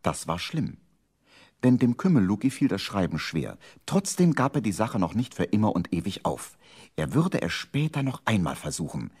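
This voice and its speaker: An actor as the storyteller of a children's radio play, narrating with playful suspense in a husky voice. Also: male voice, lowish voice, big pitch swings, normal speed, a little mellow, exaggerated voice. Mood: suspenseful, playful.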